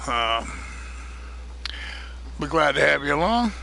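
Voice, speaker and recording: A man hosting a live stream of a multiplayer farming game, chatting casually and greeting viewers by name, his voice getting a little hoarse. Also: a little high, very animated, slow, bright, forceful tone, slight background noise. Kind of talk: casual live-stream chat while gaming.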